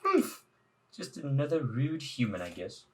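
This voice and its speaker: A man voicing a pushy, syrupy spider-woman shopkeeper from a visual novel, with drawn-out coy politeness. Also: medium pitch, very animated, recorded from a distance. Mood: huffy. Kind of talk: hammy visual novel read-aloud.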